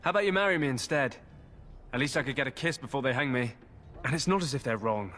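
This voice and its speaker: A man acting caged deserter in a fantasy game, mid-pitched, sardonic, wheedling, casual. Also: expressive, fast talker, a bit bright, natural voice. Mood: teasing. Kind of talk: scripted fantasy game dialogue.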